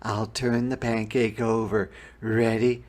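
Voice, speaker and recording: A man reading a children's story, voicing a calm mother who gives patient, deliberate instructions. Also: fairly low pitch. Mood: patient, instructing. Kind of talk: children's read-aloud.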